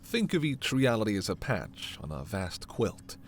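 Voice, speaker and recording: A man playing a formal alien scientist in a sci-fi ASMR roleplay, stern and authoritative yet reassuring, with measured, precise diction. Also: fairly low pitch, very animated, slight background noise. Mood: measured explaining. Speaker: voice actor in audio roleplay.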